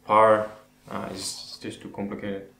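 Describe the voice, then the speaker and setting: A man's voice: lowish voice, completely flat delivery, a little slow, bright, forceful tone. A man from the Netherlands with a Dutch accent, talking casually and a little hesitantly as he answers questions as a channel host. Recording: roomy echo with background noise.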